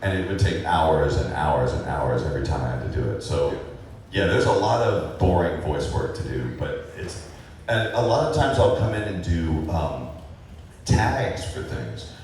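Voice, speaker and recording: A man's voice: very deep voice, animated, moderate pace, darkish tone, slight background noise. A man answering fan questions as a voice actor on a convention panel, casual and rambling, with lots of fillers and chuckles. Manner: rambling, laid-back, many fillers and chuckles. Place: large convention hall. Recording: echoey hall recorded from far off.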